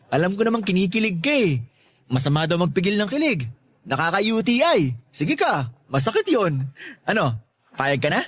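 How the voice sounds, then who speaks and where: Young Filipino loafer suitor, high swooping voice, corny, teasing, wheedling, radio drama. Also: male voice. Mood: cheeky, coaxing. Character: cheeky, lovestruck young male neighborhood loafer, relentlessly teasing suitor. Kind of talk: Tagalog radio drama acting.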